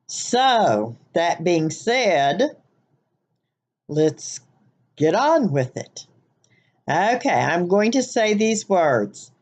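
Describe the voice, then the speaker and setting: A woman's voice: lowish voice, very animated, extremely slow, long pauses, a little mellow. Older woman with a Southern-Midwest twang. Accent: Southern US mixed with Wisconsin nasal twang. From American Midwest. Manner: chatty, rambling, with restarts. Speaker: vlogger. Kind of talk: casual chat, accent tag video. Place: living room, sitting on a couch.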